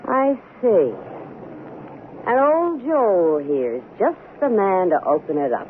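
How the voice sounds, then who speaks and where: Insistent wife on tinny old radio. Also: female voice, mid-range voice, big pitch swings, takes it slowly, slight background noise. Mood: indignant, sarcastic. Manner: insistent, instructing. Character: bossy, practical married woman, anxious under pressure. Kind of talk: old-time radio drama acting.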